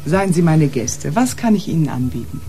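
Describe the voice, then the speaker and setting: An actress playing a poised, slightly mocking nightclub proprietor in a radio play, with smooth, guarded politeness. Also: female voice, deep voice, big pitch swings, normal speed, mellow, faint music behind. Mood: poised, courteous.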